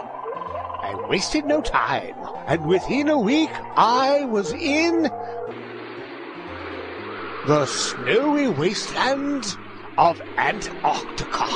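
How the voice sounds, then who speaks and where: An actor playing a pompous male detective, telling his exploits theatrically and deliberately in a comic radio play. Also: sits high, background noise, heavily acted. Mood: grave narration.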